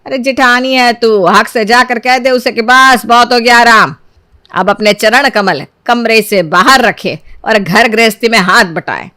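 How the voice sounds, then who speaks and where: A sly elderly mother-in-law from a cartoon family tale, acted out by a woman. The voice sits fairly high and sounds very dry and close. She scolds theatrically, with sarcasm and bossy needling. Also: unhurried, pushed and bright, dry recording. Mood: bossy scheming.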